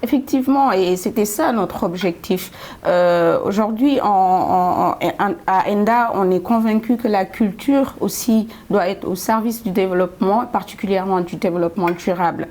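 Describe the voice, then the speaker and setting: A woman answers interview questions in a studio. Her voice has an average pitch, and her delivery is halting, with repeated words. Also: darkish tone. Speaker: development programme representative, studio guest.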